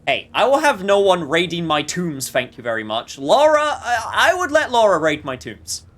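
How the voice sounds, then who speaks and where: A man's voice: high voice, wildly swooping pitch, moderate pace, a bit bright. A man livestreaming a video game with casual chat and wry, joking, sometimes sweary commentary.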